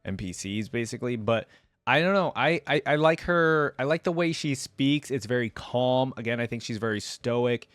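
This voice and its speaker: A man's voice: medium pitch, animated, moderate pace, a bit bright, no room sound. A man giving casual, slangy and enthusiastic reaction commentary as a host. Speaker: host reacting to game voice lines.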